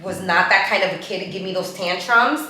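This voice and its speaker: A woman's voice: medium pitch, brisk, pushed and bright. A middle-aged woman from the Dominican Republic co-hosting a casual chat, speaking bluntly and emphatically. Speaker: co-host. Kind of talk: casual chat show talk. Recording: very echoey room, faint music.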